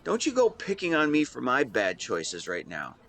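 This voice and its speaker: A man's voice: medium pitch, wildly swooping pitch, normal speed, a bit bright. A man in his twenties playing a devoted, protective and secretly lovesick best friend in a conversational audio roleplay, earnest and playful with some hesitation. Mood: teasing, playful. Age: 20s. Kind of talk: intimate one-sided roleplay acting, conversational.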